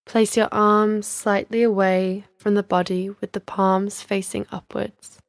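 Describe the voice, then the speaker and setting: A woman leading a guided yoga nidra relaxation as a teacher, speaking in a soothing, measured, instructional manner with gentle pauses. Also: medium pitch, level tone, gravelly, no room sound, studio quality.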